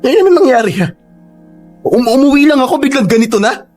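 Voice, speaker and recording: A man's voice: very high-pitched, lively intonation, fast, darkish tone. A man acting as an affectionate young college student and jilted boyfriend in an emotional, pleading Filipino radio drama style. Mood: hurt, angry. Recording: music behind the voice.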